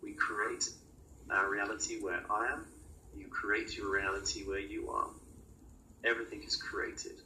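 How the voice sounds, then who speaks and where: A man delivering a spiritual channeling monologue as a guide, in halting, oracular short phrases with many pauses. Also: fairly low pitch, flat delivery, sharp tone. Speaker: channeled guide speaking in a session. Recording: roomy, distant mic with clear noise.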